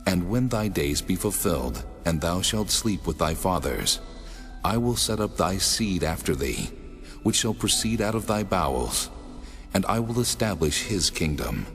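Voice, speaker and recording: A man voicing the Lord God in a dramatized scripture reading, solemn, measured and authoritative. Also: low voice, expressive, a bit bright, exaggerated voice. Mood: solemn, commanding. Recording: long cavernous reverb, music underneath. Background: music.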